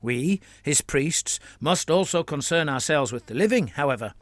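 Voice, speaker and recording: A man voicing a calm, evasive priest who rambles in measured, placating speech. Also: a little high, no echo, natural voice. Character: evasive scheming male priest, calm and wordy.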